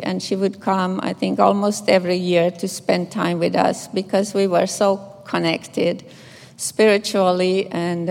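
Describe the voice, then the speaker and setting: An older woman from Finland with a Finnish accent, a nun reading scripture and giving a gentle, measured memorial tribute. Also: mid-range voice, creaky. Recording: echoey hall reverb.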